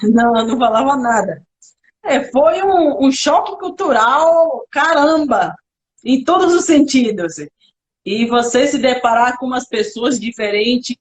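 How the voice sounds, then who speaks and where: A young woman from the Xingu, a native Kalapalo speaker, talking in Portuguese as a guest on a live video call, thoughtful and halting with restarts. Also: fairly high pitch. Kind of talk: casual live interview.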